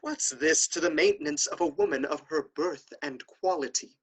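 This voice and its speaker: A man's voice: on the high side, normal speed, breathy edge. An actor playing a stern, honor-obsessed nobleman brother in a classical stage comedy, declaiming in a haughty, indignant way.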